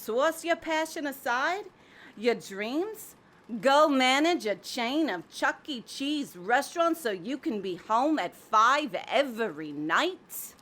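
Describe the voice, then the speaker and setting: A woman's voice: sits high, sing-song, slow, a bit bright, exaggerated voice. A woman voicing a brash, scornful, persuasive magic hat in an audio drama, sardonic and theatrical. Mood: mocking, scornful. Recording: faint background noise.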